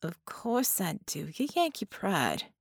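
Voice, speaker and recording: A woman's voice: fairly low pitch, huge pitch swings, takes it slowly, gravelly, breathy edge, no room sound, studio quality, heavily acted. A woman voicing a plainspoken, stubborn wounded female veteran in audiobook dialogue, frail and wavering. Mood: defiant. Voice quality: wavering, fragile. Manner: folksy, plainspoken.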